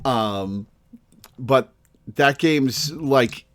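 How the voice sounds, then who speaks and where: A man's voice: medium pitch, very slow, a bit bright. A man hosting a livestream in a casual, rambling way, musing and thinking aloud as he goes. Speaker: livestream host. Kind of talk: casual rambling stream chat.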